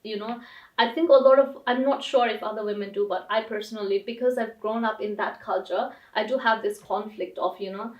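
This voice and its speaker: A woman's voice: medium pitch, steady tone, a little fast, highs cut off. A woman from Nepal, a community leader answering interview questions earnestly and emphatically. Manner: earnest, emphatic, many you-knows. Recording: echoey room, distant mic.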